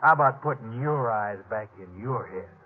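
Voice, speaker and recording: Lively young Old West station helper. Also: male voice, on the low side, moderate pace. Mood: easygoing. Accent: American. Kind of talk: 1950s radio western acting. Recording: tinny old radio recording, faint noise.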